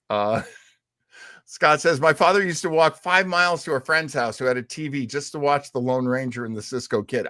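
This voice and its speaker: A man co-hosting a live talk show about old radio, telling its history as an expressive storyteller. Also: medium pitch. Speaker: co-host.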